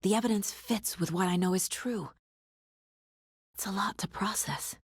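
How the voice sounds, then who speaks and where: An actress playing a determined woman detective in a video game, speaking in a serious, focused, terse way with a soft, husky voice. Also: mid-range voice, normal speed, no echo, studio quality. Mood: hushed, uneasy. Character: determined woman detective investigating supernatural cult murders. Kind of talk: video game character lines, investigative inner monologue.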